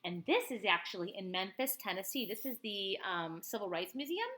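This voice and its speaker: A woman teacher reading a picture book aloud, with expressive, informal, explanatory asides. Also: medium pitch, normal speed.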